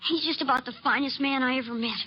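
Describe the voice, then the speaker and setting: High-voiced eager country boy played by a woman. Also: fast talker, slight background noise, lightly acted. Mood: admiring, stubborn. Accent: American. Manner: earnest, folksy country talk. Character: eager, stubborn Western boy, hero-worshipping and earnest. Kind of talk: old-time radio western acting. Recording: muffled old-time radio recording.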